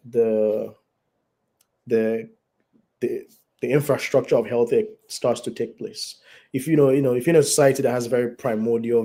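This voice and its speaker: A man with a mid-range voice gone raspy and hoarse from the flu answers as a guest doctor over a video call. His explanations run long and wind along, with frequent self-corrections and ums. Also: mid-range voice, dark tone.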